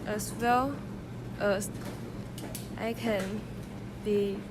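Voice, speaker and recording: A woman voicing a very slow male sloth clerk, dragging each word out in a student radio drama. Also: mid-range voice, steady tone, noisy background. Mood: sluggish. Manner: drawn-out, halting delivery.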